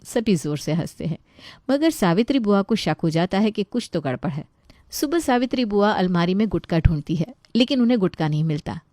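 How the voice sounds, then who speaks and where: Female narrator for scripted bedtime-style tales, soft dark timbre, very dry studio-like sound. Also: medium pitch, animated, brisk, no room sound. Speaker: story narrator.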